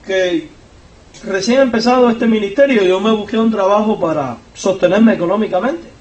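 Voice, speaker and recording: A man with a Cuban accent, preaching a sermon as pastor, driving his points home by climbing in pitch and volume. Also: normal speed. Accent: Cuban Spanish. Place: church sanctuary. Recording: echoey church hall, voice from far off.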